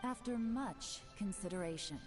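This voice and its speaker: An actress playing a shrewd, composed woman spymaster in an anime game, measured and commanding. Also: mid-range voice, animated, over music, lightly acted. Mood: formal. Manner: poised, measured, deliberate, commanding. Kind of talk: scripted anime game voice acting.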